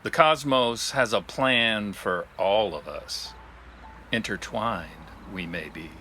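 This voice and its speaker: Serene mystical drifter, lowish voice, slow cryptic delivery, faint ambience behind. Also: male voice, bright, forceful tone, a little hiss or hum. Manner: cryptic, portentous. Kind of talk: comedic audio drama acting.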